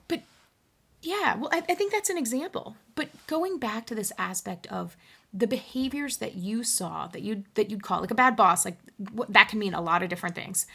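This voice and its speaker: Conversational woman coach with a husky edge. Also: medium pitch, a bit bright. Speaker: HR coach, show host. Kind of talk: improvised one-sided roleplay coaching call.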